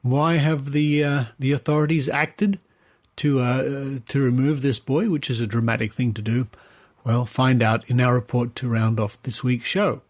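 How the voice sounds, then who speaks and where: A man's voice: medium pitch, fairly even intonation, normal speed. An Australian man hosting a podcast in a chatty, conversational way, given to rambling asides. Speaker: podcast host.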